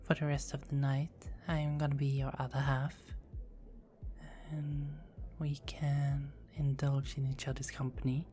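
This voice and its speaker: A woman's voice: very deep voice, completely flat delivery, unhurried, mellow, a little hiss or hum. Woman whispering as a teasing vampire girl. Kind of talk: ASMR roleplay.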